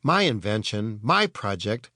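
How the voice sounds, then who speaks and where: A man's voice: on the low side, very animated, unhurried, sharp tone, dry recording, studio quality, exaggerated voice. A man voicing an earnest boy inventor in a children's storybook, explaining science eagerly and emphatically.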